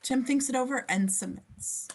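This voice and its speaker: A woman's voice: sits high, moderate pace, darkish tone. A woman reading screenplay scene descriptions aloud as the narrator of a table read.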